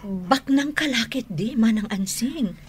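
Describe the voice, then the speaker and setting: A timid younger woman in an Ilocano radio drama. Her voice is breathy and she speaks slowly, with bashful, halting hesitations. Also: a little high, animated.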